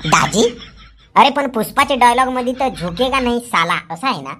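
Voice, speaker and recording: A man voicing a cheeky, reluctant village sidekick in a comic cartoon, teasing and quick to talk back. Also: extremely high pitch, animated. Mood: questioning. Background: very loud background music.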